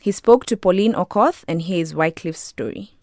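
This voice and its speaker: A woman's voice: on the low side, moderate pace, darkish tone. A woman presenting a talk show as host in a scripted presenting style.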